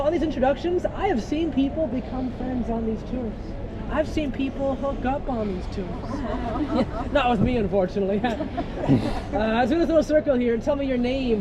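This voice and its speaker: A Canadian man in his thirties, a tour guide, greeting a group outdoors with a joking, self-deprecating, chatty manner. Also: extremely high pitch. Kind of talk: guided walking tour talk. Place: outdoors on a city street. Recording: loud traffic noise outdoors. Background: street traffic.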